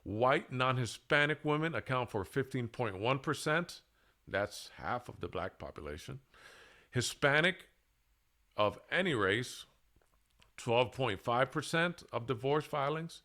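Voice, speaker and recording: Guy doing a scripted talk, really slow n deliberate, lots of pauses, sharp bright voice. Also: mid-range voice. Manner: deliberate, halting phrasing with emphatic pauses. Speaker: presenter. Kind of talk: scripted monologue, public speaking.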